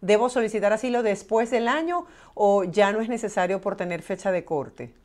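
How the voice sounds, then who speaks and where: A woman's voice: mid-range voice, moderate pace, darkish tone. A woman hosting a broadcast interview, reading questions aloud and moving the conversation along. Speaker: host reading viewer questions.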